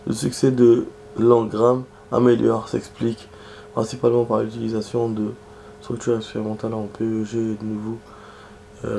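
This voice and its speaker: A man with a cold, casually reading in-game text aloud and chatting while he plays. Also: slight background noise. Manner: low, flat, gravelly delivery with muttered asides. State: has a cold, clearing his throat. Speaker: gaming streamer.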